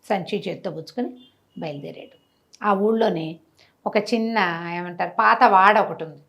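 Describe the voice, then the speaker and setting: A woman telling a moral story conversationally, with animated delivery, rhetorical questions and everyday examples. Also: mid-range voice, normal speed, gravelly. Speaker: storyteller, interview guest.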